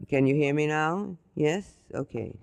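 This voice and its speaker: A man answering a question as a conference panelist, halting and full of "uh" fillers. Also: on the high side, creaky, clean tone, no room sound. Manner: slow and halting, with many uh fillers.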